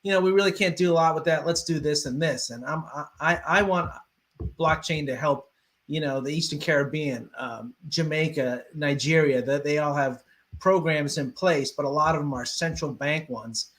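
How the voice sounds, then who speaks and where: A man's voice: on the high side, normal speed, fairly bright tone. A man in a conversational interview, speaking as the interviewer and thinking aloud as he hesitantly works through his points.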